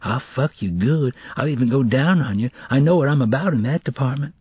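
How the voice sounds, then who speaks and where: A man's voice: mid-range voice, expressive, fairly quick, breathy edge, no echo, muffled recording, voice pushed up. A man voicing a cocky, menacing teenage boy in an audiobook, with slangy, pushy talk. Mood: crude, menacing. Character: cocky, menacing teenage boy with street swagger, a would-be cheat.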